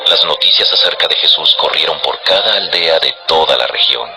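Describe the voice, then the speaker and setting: Low deep guy narrating an audio bible, scripted storytelling, music clearly playing behind. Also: normal speed, rough recording.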